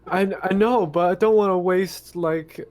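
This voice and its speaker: A man chatting casually as a player in a tabletop game, halting and tentative. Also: sits high, steady tone, crowd noise.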